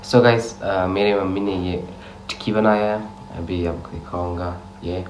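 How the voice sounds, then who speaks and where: A man's voice: sits low, fairly even intonation, unhurried, noisy background. A teenage boy, a Persian speaker, vlogging casually into a phone held at arm's length, with a sore throat from a cold, chatting to viewers as guys. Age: teens. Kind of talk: casual vlog talk to camera. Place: home rooms and outdoors.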